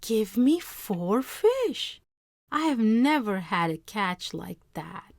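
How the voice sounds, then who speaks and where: Humble storybook fisherman, henpecked and earnest, read by a woman, lively intonation, dry close sound. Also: medium pitch, slow, no room sound. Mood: wondering, eager. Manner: earnest, plain-spoken. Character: humble, simple, henpecked fisherman, adult man. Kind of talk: read-aloud storybook character voice.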